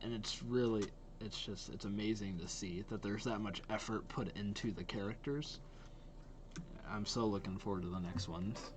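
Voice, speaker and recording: A man's voice: lowish voice, normal speed, noisy background. A young man giving casual, enthusiastic reaction commentary as a video host. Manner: enthusiastic, repetitive praise. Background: faint music.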